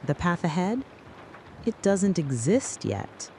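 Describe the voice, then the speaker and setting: A narrator delivering a scripted, dramatic late-night soliloquy in a deliberate, brooding way, speaking straight to the listener. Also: mid-range voice, very animated, a little mellow, slight background noise. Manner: slow, deliberate, brooding second-person address.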